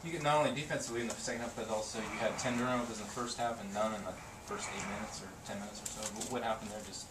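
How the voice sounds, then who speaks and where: Very fast-talking male reporter, echoey room. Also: lowish voice, steady tone. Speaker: sports reporter. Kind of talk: asking questions at press conference. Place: indoor press conference room. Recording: echoey, distant, noisy camera recording.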